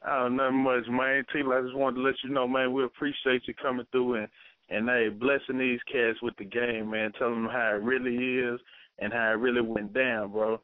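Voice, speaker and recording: A quick-talking man phones in as an admiring fan. His voice is mid-pitched and his speech slangy and casual, over a dry, thin telephone line. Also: flat delivery, no room sound. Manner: slangy, admiring, repeats himself. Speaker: fan calling in to a radio show. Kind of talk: casual chat. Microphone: phone call.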